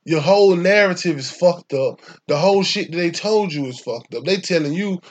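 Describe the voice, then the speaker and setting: A young man with a Southern Atlanta accent speaks in a voice pitched a bit above the usual male range. He talks in loose, rambling casual conversation, swearing freely and hammering his points with emphasis. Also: lively intonation, normal speed. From Atlanta, Georgia, US. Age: young adult.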